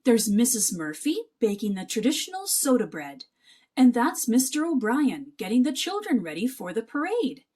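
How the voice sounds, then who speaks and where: A woman voicing a brisk, dismissive uncle mouse in a storybook, jolly but scolding and scoffing. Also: fairly high pitch, very animated, a little mellow. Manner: blustering, scoffing.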